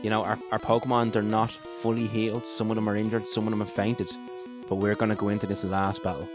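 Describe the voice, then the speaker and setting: Low-voiced excitable man gaming over music. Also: fast talker. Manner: sweary, excitable. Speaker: podcast co-host and player. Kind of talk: casual gaming banter.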